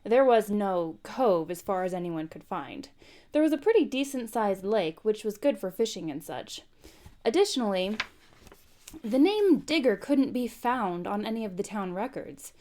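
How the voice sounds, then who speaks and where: Lady doing a casual livestream read-aloud, conversational, normal mid-pitched voice. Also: big pitch swings, moderate pace, mellow. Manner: informal, conversational. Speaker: host reading a book aloud on a live stream. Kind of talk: informal livestream chat and audiobook-style narration.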